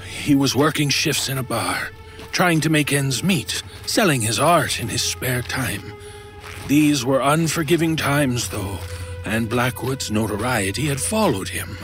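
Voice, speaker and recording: A man's voice: medium pitch, unhurried, a bit bright, soft background music, natural voice. A man playing an exasperated, skeptical older antiques dealer in an old time radio drama, grumbling and dryly sarcastic. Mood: grave storytelling. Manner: grumbling, dry sarcasm, weary storytelling.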